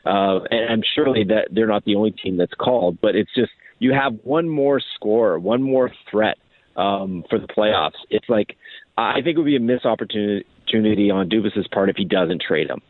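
Male sports analyst, low-pitched, calling in on a narrow phone line, conversational, restarts phrases often. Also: expressive, no echo. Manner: halting, repeats and restarts phrases. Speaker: hockey writer, interview guest. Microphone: phone call.

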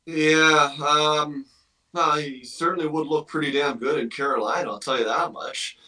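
Bright-voiced man talking sports, slightly distant. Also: fairly high pitch, steady tone, normal speed. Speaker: hockey analyst guest. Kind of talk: sports talk commentary.

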